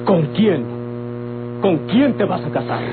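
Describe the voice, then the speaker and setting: A man's voice: high-pitched, animated, slow, over music. An actor playing a suspicious, jealous man in an old Mexican radio drama, insistent and questioning, with a Mexican accent. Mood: shocked, dismayed. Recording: tinny, very noisy, humming old radio recording.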